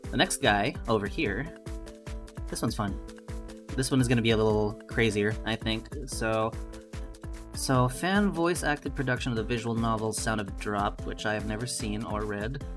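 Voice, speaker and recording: A man with a slightly low voice hosts an informal vlog. He thinks aloud in a loose, laid-back way, chatting casually as an amateur voice actor. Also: moderate pace, music in the background.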